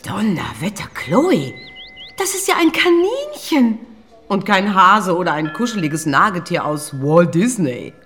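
A woman's voice: medium pitch, very animated, unhurried, soft background music. Emphatic woman schoolteacher, swooping intonation. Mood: amazed. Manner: emphatic, admiring, gently admonishing. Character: encouraging, firm woman gym and art teacher. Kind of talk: radio play dialogue.

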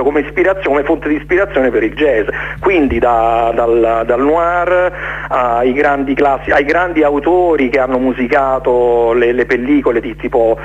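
A man giving an informal radio interview as a guest, speaking haltingly, searching for words and repeating himself. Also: medium pitch, highs cut off. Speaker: interview guest, jazz musician presenting his concert series.

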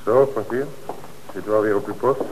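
An actor playing a calm, authoritative police commissioner in an old radio play, on a noisy old radio recording. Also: male voice, on the low side, close to an everyday voice. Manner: measured, authoritative. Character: middle-aged male police commissioner, calm and dry. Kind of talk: 1960s radio play acting.

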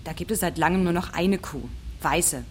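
A rumbly, noisy recording features a woman acting as a village witness with a deep voice. She speaks plainly and colloquially, in the matter-of-fact way of a radio play character. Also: moderate pace, natural voice. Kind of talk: German radio drama acting.

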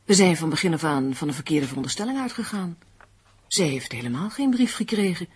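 An actress in a radio play playing a determined, unsentimental amateur sleuth, matter-of-fact and insistent. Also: female voice, sits low, lively intonation, a little fast, darkish tone, slightly rough, full character voice. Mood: insistent, suspicious.

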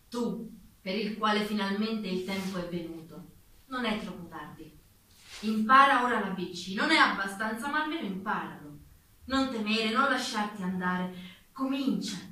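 A woman's voice: mid-range voice, normal speed, sharp tone, lightly acted. A woman playing the narrator of a historical courtroom radio drama, theatrical and declamatory. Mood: declamatory, rousing. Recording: very echoey distant room, faint noise.

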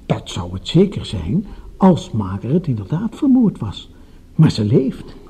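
An actor playing a smooth-mannered, condescending psychiatrist with quiet menace in a radio drama. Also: male voice, mid-range voice, huge pitch swings, measured pace, exaggerated voice. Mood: smug, amused. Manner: patronising, pseudo-clinical, insinuating. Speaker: psychiatrist character.

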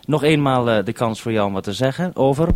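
A man's voice: medium pitch, expressive, quick pace, darkish tone, a little hiss or hum. A man in a practical two-way radio exchange, speaking as a businesslike programme maker organising things.

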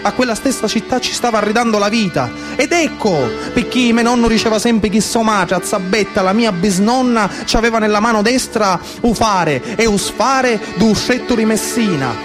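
A man's voice: high voice, normal speed, hardly any pauses. A man in his thirties with a Sicilian accent delivers a theatrical narrative monologue in dialect-tinged speech, with a declamatory, repetitive folk-storyteller's cadence. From Sicily, Italy. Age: 30s. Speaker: actor-storyteller. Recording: live music behind the voice. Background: live instrumental music.

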